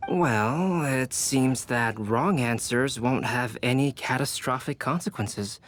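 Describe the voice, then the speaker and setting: Young scholarly alchemist, medium pitch, measured, precise, cautious, polite, anime game. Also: male voice, fairly bright tone, soft background music. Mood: calm.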